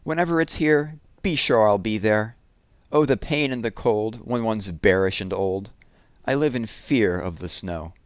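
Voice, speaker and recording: A man's voice: fairly low pitch, measured pace, clear, resonant tone, no room sound, lightly acted. A man voicing a fearful old bear in plaintive rhyming verse from a children's poem.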